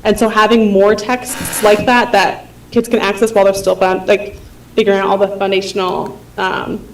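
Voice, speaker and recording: A woman's voice: mid-range voice, little pitch movement, moderate pace, a little hiss or hum. A woman teacher speaking casually with many fillers at a school board meeting. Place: meeting room. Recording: echoey room, far from the mic.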